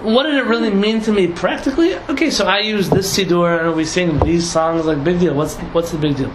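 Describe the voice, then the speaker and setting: A young man from San Diego, a rabbi talking casually to a group, lively and laughing with many restarts and asides. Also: high-pitched, a little fast. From San Diego, United States. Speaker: rabbi teaching a class. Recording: echoey room, audible noise.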